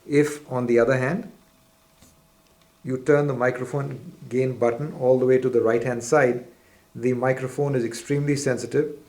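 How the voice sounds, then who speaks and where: A man's voice: mid-range voice, vocal fry, a little mellow. A man presenting a step-by-step instructional demonstration in a methodical, formal, explanatory way. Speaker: product demonstrator.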